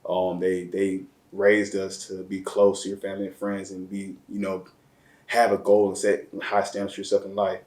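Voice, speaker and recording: A man from the area, telling his life story as an interview guest in slang, often repeating words. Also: low-pitched. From Chicago area, Illinois. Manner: slow, flat delivery with repeated words and slang. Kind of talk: reflective personal storytelling. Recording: roomy echo.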